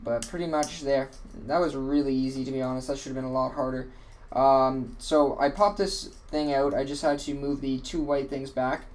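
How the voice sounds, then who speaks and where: A man's voice: mid-range voice, fairly even intonation, normal speed, darkish tone. Tired-voiced man rambling through a how-to. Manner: rambling, self-correcting, offhand. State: tired, slightly worn voice. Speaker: tutorial host. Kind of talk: casual how-to walkthrough.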